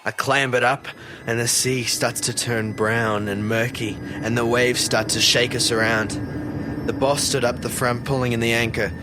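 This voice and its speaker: An actor playing an earnest, haunted fisheries officer who tells his story haltingly in a first-person audio drama. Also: medium pitch, flat delivery, over music, lightly acted. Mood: urgent, alarmed. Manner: halting, earnest, stammering when pressed. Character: haunted male fisheries officer recounting a ghost-ship encounter. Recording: loud boat and sea ambience behind.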